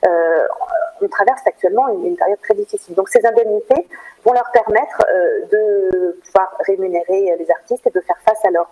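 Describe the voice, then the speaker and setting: Woman, soft mellow tone, medium pitch, explanatory, hesitant pauses, interview guest. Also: poor audio. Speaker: theatre programme manager, interview guest. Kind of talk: informative radio interview answers.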